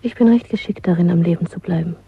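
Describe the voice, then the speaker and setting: An actress playing a defiant, self-assured rebel lover in a German radio drama, husky, intimate and quietly insistent. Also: female voice, fairly low pitch, fast, heavily acted. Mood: urgent, cautioning. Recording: dry, thin phone-like band-limited sound.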